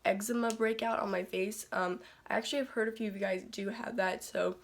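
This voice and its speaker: A teenage girl chatting casually to her phone camera in a beauty vlog, her voice raspy and congested from a cold. She rambles with lots of "like" and "um". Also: mid-range voice, steady tone, fairly quick. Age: teens. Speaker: beauty vlogger.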